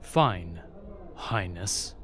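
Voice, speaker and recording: Man acting a slow, bitter young court spy. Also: deep voice, expressive, slight background noise, full character voice. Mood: exasperated, resentful. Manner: sardonic, bitter, guarded, with pauses. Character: jaded, defiant young male spy serving at a royal court. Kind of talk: audio roleplay acting.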